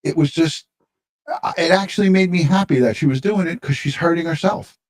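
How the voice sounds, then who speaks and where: A man's voice: on the high side, fairly quick. A man giving casual true-crime commentary as a live stream panelist, opinionated and repeating himself for emphasis.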